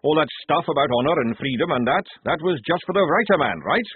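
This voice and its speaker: A man voicing a gruff, blunt veteran army sergeant speaking in rough barrack-room talk. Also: on the high side, expressive, a little fast, dry recording, pitched above the performer's own voice. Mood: exasperated. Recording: tinny phone-like dry recording.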